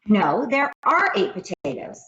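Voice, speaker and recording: A firm but patient female schoolteacher, as a woman reading a storybook plays her, speaks with emphatic, lively rises and falls. She instructs and corrects in clear steps. The room adds noticeable echo. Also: mid-range voice, a little slow, highs cut off, pitched above the performer's own voice. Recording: roomy echo, faint background noise.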